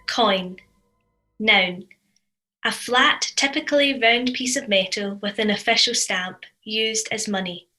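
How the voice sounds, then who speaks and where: A woman's voice: medium pitch, takes it slowly, sharp tone, faint music behind, poor audio. A woman reading a scripted devotional reflection as one of a church's readers.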